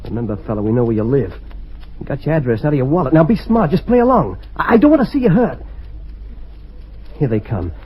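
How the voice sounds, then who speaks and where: A man's voice: mid-range voice, sing-song, fast, long pauses, lightly acted. A man playing a nervy small-time crook, uneasy but tough-talking, in an old-time radio drama. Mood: warning. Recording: muffled old radio recording with hiss.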